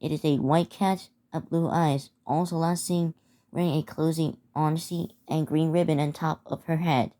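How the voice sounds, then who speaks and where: Woman, deep for her sex, soft dark tone, emergency announcement reading, halting delivery, frequent stumbles and repeats. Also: fairly even intonation. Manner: halting, stumbling over words and repeating phrases. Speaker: narrator of a hobby emergency alert scenario. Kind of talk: scripted emergency announcement read aloud.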